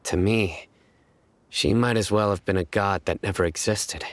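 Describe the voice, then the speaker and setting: An actor playing a stern, analytical investigator in a video game, speaking in a measured, serious and formal way. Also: male voice, on the low side, heavy background noise, lightly acted. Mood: skeptical. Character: stern, analytical young male investigator, reserved and grave. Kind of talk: video game voice acting, dramatic storytelling. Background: background music.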